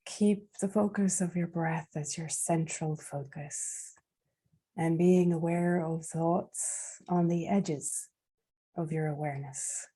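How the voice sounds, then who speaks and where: Slow, husky woman guiding a meditation. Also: sits low, steady tone. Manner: soft, soothing, unhurried with long pauses. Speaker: meditation guide. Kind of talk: guided meditation.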